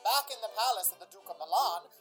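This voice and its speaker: A woman's voice: medium pitch, animated, fast, a bit bright. A woman announcing and narrating a radio play in a theatrical, old-time style. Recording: music under the voice.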